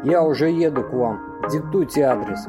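A man playing a gruff, hurried man on a phone call in an amateur audio play. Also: medium pitch, animated, loud music behind the voice, lightly acted. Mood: irritated, urgent.

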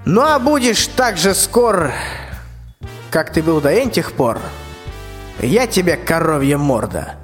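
A man's voice: a little high, sing-song, slow pace, long pauses. A male performer playing a bossy, threatening tsar who orders people about in folksy rhyme in a radio fairy tale. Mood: scornful, threatening. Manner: commanding, folksy rhymed speech. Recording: long reverb, music behind.

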